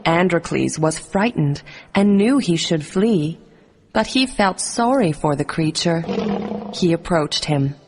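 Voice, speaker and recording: A woman reading scripted narration for a children's animated story. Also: on the low side, slow. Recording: music underneath.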